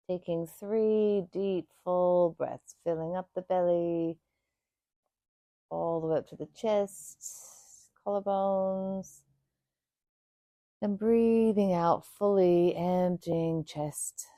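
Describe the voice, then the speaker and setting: Slow, low, mellow woman guiding meditation. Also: vocal fry, dry recording. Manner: soothing, unhurried, pausing between short phrases. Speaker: yoga nidra meditation guide. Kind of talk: guided meditation.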